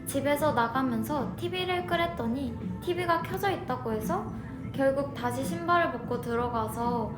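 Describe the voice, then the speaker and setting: High, playful young woman co-hosting radio. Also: moderate pace. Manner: playful, laughs easily. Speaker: radio show co-host. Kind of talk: casual radio chat, reading listener letters. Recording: echoey studio with background music. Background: background music.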